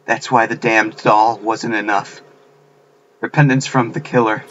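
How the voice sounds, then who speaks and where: A man voicing a shaken, solemn schoolgirl who has witnessed murders, in a grave, hushed delivery for a game. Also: on the low side, steady tone, unhurried, dull audio, a little hiss or hum.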